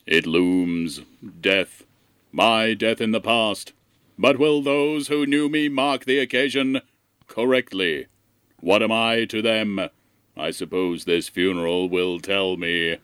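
Man as haughty alien mind, grandiose, morbid, solemn, crisp resonant tone, dry close recording, radio comedy. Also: fairly low pitch, takes it slowly, fairly bright tone, no echo. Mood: brooding. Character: pompous, morbid disembodied male alien intelligence. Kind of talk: scripted comedy radio play.

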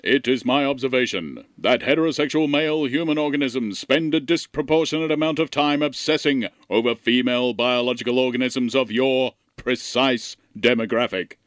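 A man voicing a disembodied alien intelligence for an audio comedy, mid-pitched and recorded very dry. He talks in formal, clinical phrases, pedantic and a little petulant. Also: moderate pace, hardly any pauses, a bit bright, no echo, natural voice. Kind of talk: scripted comedy audio drama.

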